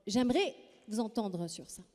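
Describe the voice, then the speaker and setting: A woman from Morocco moderating a literary panel, hesitant and halting with many fillers. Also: mid-range voice, lively intonation, darkish tone. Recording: reverberant festival hall.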